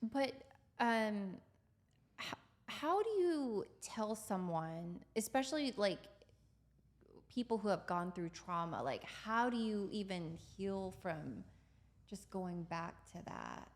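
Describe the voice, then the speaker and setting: A woman hosting a podcast, bubbly and loud, talking in a casual, searching way. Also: mid-range voice, very animated, slow. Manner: bubbly, loud, bursts of laughter. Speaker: podcast co-host. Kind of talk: casual chat.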